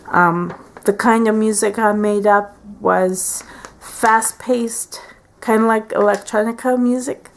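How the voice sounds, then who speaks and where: Woman, 40s, home vlog. Slow, hesitant, gravelly, lots of fillers. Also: medium pitch, darkish tone, slight background noise. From Canada. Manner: hesitant, many fillers and restarts. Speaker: beauty vlogger. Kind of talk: casual tag video chat. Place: at home by a window.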